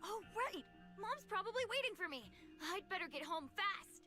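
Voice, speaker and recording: An earnest, high-voiced little boy. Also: very animated, normal speed, pushed and bright, soft background music, exaggerated voice. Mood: curious, eager. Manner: childlike, hesitant, sincere. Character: earnest, brave, innocent young boy.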